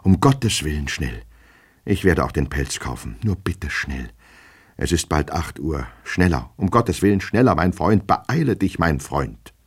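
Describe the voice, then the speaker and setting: A man voicing an anxious, flustered gentleman from a storybook, agitated and repeating himself as he despairs. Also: extremely low pitch, lively intonation, moderate pace, husky, full character voice. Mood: pleading, hurried. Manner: repetitive, stammering, agitated, talking to himself. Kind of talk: dramatic audiobook character reading.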